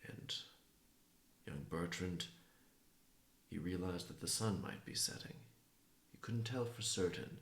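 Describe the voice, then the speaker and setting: Male storyteller, very low and creaky, feeling his way through an improvised folktale in a roomy space. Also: animated, fairly bright tone. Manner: halting, pausing between phrases. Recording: roomy, echoing sound.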